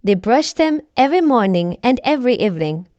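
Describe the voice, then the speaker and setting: A woman's voice: fairly high pitch, sing-song, brisk, darkish tone, no room sound, muffled recording. A woman reading a children's bedtime story aloud as a storyteller.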